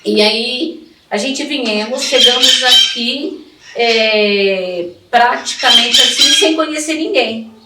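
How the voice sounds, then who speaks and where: High-voiced São woman, echoey noisy recording. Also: slow. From São Paulo, Brazil. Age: middle-aged. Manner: devout, emphatic with repetition, colloquial. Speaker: interview guest telling her life story. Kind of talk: personal testimony in conversation. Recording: echoey, distant mic, clearly noisy.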